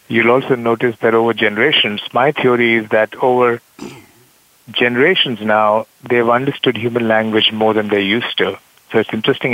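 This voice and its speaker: A man's voice: lowish voice, no room sound. A man, an executive guest on a radio show, speaking earnestly with many hesitations. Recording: dull dry lo-fi line.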